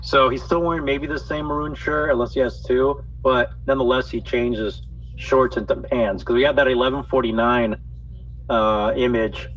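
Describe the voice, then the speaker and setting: A man's voice: mid-range voice, steady tone, normal speed, fairly bright tone, recorded from a distance. A man in a live audio chat, talking methodically through the details he sees. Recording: dull audio from off mic with faint noise.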